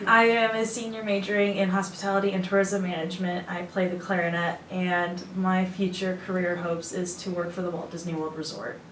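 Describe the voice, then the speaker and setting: A woman's voice: on the low side, level tone, fairly quick, hardly any pauses, roomy sound, a crowd in the background, rough recording. A young woman in her early twenties from Illinois, answering interview questions in a chatty, earnest way. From Illinois, USA. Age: early 20s. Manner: chatty, earnest, laughs easily. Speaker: student guest.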